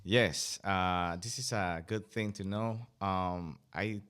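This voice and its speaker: Deep-voiced Venezuelan man, hesitant. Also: fairly even intonation, takes it slowly, fairly bright tone, clean tone. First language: Spanish. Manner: hesitant, many fillers and restarts. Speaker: student guest. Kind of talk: podcast interview.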